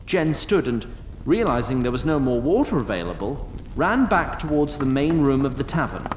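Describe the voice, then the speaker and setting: A man narrating a scripted fantasy story in a measured, literary way. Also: medium pitch, big pitch swings. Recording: roomy sound, faint noise.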